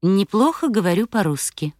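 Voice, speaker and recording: A woman reading Russian practice phrases for learners with careful, clearly articulated delivery. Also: medium pitch, sing-song, normal speed, a little mellow, dry recording, clean studio sound. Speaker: language course speaker.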